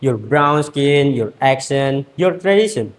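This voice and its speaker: A teenage student from Indonesia with an Indonesian accent, giving an earnest, rhetorical competition speech with emphatic pauses. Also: male voice, mid-range voice, lively intonation. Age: teens.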